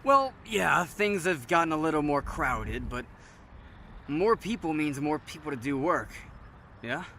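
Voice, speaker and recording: A man's voice: a little high, big pitch swings, measured pace, sharp tone, a little hiss or hum, heavily acted. A man playing an easygoing, philosophical survivor in an audio drama, wry, slangy and teasing. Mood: upbeat persuasion. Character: easygoing, philosophical male survivor, restless tinkerer. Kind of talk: audio drama acting, casual dialogue.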